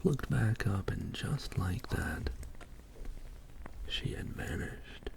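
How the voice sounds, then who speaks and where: A man softly telling a rambling ASMR bedtime story in soothing, halting phrases, whispering close to sensitive microphones. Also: expressive, low-quality recording. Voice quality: breathy whisper mixed with low creaky voice. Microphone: close high-sensitivity microphones. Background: soft writing and tapping trigger sounds.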